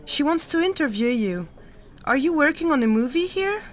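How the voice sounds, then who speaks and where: A woman's voice: sits high, very animated, normal speed. An actress playing a chatty, inquisitive woman guest at an embassy party in a video game. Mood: curious. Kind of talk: video game cutscene acting.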